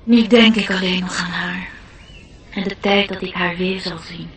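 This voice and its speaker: A woman's voice: fairly low pitch, fairly even intonation, moderate pace, a little hiss or hum, lightly acted. A woman playing a courteous, proud glow-worm in mourning, formal and wistful, in a radio play. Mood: mournful, proud. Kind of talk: Dutch radio play acting. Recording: distant-sounding radio play recording.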